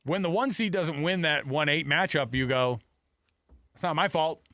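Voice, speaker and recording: A man's voice: on the high side, moderate pace, sharp tone, no echo, clean studio sound. A man hosting sports talk radio, chatting in a casual, colloquial, jokey way.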